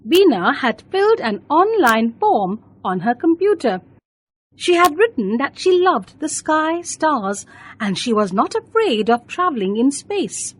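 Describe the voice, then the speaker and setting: A woman narrating a scripted children's bedtime story in an expressive, deliberate storytelling manner. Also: high-pitched, dry recording.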